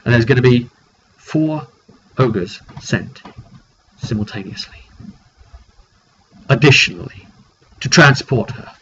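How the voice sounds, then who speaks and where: Man recapping a roleplaying game, dull noisy recording. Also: mid-range voice. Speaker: game master recounting a tabletop campaign. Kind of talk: informal video recap of a roleplaying session. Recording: dull lo-fi sound with clear noise.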